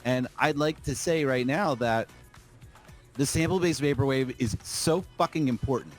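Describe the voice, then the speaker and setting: A man's voice: mid-range voice, moderate pace, soft background music. Emphatic, profane man on a podcast. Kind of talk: casual chat turning into opinionated rant.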